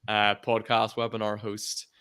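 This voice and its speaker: Upbeat young man with a smooth voice. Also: on the low side, completely flat delivery, measured pace, sharp tone. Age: 20s. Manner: upbeat and welcoming. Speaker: webinar host. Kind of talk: hosting an interview webinar.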